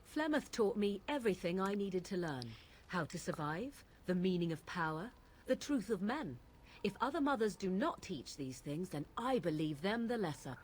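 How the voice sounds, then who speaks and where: A woman's voice: medium pitch, very animated, normal speed, lightly acted. A woman playing an aloof, cynical young witch from the wilds in a fantasy game, speaking in formal, archaic phrases with haughty disdain. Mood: scornful. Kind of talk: fantasy video game acting.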